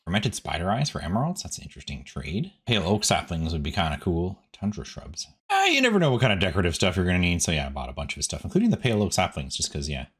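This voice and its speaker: A man's voice: low voice, fairly bright tone, husky. A man giving casual, chatty gameplay commentary, rambling in asides to viewers as a video host.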